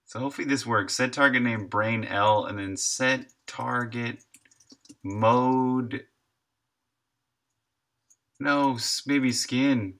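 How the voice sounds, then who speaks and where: A man's voice: mid-range voice, steady tone, slow pace, long pauses, a bit bright. A man in his thirties chatting casually and thinking aloud while he codes on a live stream, sometimes muttering to himself. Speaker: game developer live streaming.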